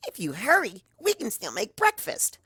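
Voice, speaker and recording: A man voicing a hyper, cheerful young female pony who talks loud and bubbly. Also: very high voice, wildly swooping pitch, normal speed, husky, no room sound, studio quality, voice pushed up, heavily acted.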